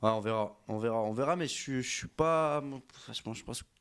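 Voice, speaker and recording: Male co-host, mid-pitched, easygoing, lots of quick agreement. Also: fairly bright tone, clean tone. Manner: agreeable, backchanneling, trailing off. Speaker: podcast co-host. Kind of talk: casual football chat.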